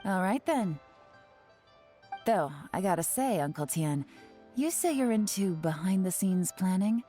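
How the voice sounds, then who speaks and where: Cool teasing spy lady, played by a woman, mid-pitched, mellow, relaxed, game scene. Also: measured pace, faint music behind, close to an everyday voice. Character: sly, confident female spy, cool and teasing. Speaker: covert agent. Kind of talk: video game cutscene dialogue.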